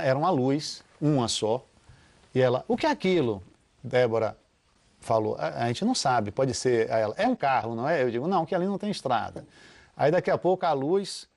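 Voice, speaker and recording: A man's voice: medium pitch, a little mellow. Storytelling man acting out quotes. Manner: storyteller who acts out quoted dialogue. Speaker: interviewee. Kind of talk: anecdotal storytelling.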